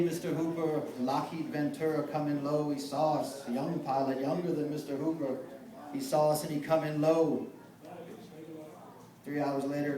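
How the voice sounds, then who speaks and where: A man performing a dramatic monologue as a grim, haunted sailor who survived a wartime shipwreck, telling it in measured, folksy, clipped phrases. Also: mid-range voice, natural voice. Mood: somber. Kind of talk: dramatic film monologue performed live from memory. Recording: echoey room, picked up from far off.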